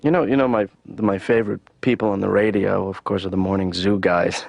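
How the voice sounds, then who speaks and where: An actor playing an aimless young adult son in a cartoon, talking in a rambling, hesitant deadpan mumble. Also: male voice, fairly quick, dry recording. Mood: boastful. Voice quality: low gravelly deadpan mumble. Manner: rambling, hesitant, many fillers. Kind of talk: animated sitcom dialogue.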